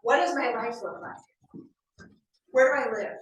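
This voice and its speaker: A woman in her forties giving a lively, conversational motivational training talk in an office meeting room, often checking in with her listeners. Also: fairly high pitch, unhurried. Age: 40s. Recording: very echoey room, mic far off, faint noise.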